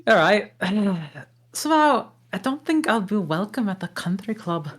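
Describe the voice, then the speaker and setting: A woman's voice: medium pitch, sing-song, a little slow. A woman voicing a grumpy, sarcastic male ogre at a table read of a film script. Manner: gruff, sarcastic.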